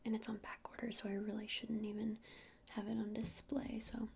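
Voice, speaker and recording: Female, ASMR witch shopkeeper roleplay: close breathy whisper, even and unhurried, slightly hesitant, some fry, faint noise. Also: a little high. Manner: hesitant, unhurried, soft-spoken. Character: gentle witch minding a crystal shop.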